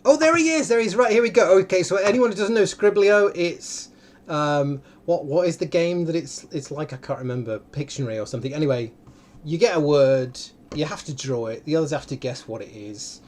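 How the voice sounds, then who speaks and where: A man hosting a casual online game stream, bantering in a chatty, animated and playful way. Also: fairly high pitch, a little fast. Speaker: host of a livestream. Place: indoors, on an online video call.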